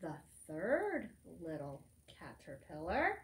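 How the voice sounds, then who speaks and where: A middle-aged woman reads a picture book aloud to preschoolers in an expressive, teacherly manner, adding simple asides. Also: deep voice, takes it slowly. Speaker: librarian storytime reader. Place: library room among bookshelves, indoors. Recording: roomy indoor echo.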